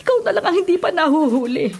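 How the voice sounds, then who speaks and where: A woman's voice: high-pitched, lively intonation, fast talker. An actress with a Filipino accent playing a loving, imploring mother of a wayward grown son in a radio drama. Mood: earnest explaining. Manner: earnest, imploring.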